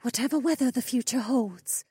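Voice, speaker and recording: A man voicing a tearful, dreamy oryx seer woman who speaks in slow, chanting half-whispers. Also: extremely high pitch, warm tone, breathy edge, dry recording, studio quality, pitched above the performer's own voice, exaggerated voice. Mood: entranced.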